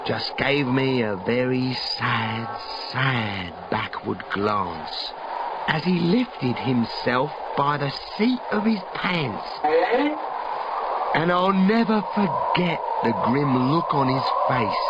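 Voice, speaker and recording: A man's voice: mid-range voice, muffled recording, heavy background noise. A man voicing an old, regretful and greedy industrialist in a theatrical, emphatic storybook reading. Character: regretful, greedy old male industrialist telling his tale.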